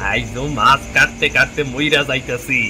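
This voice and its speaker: Over noisy ambience, a man acts out a bitter middle-aged village father from a cartoon. He lectures harshly in a mid-pitched voice with an eastern Bengali accent, stern and repetitive. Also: fairly even intonation, moderate pace, lightly acted. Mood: angry, bitter. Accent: eastern Bengali (Bangladeshi). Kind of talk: dramatic cartoon voice acting. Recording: clearly noisy background ambience.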